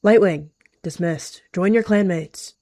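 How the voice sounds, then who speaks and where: A woman's voice: lowish voice, moderate pace, mellow, no echo, clean studio sound. A woman voicing a bold, fair-minded she-cat who leads a clan with firm authority in a roleplay game. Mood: firm, commanding. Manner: firm, commanding.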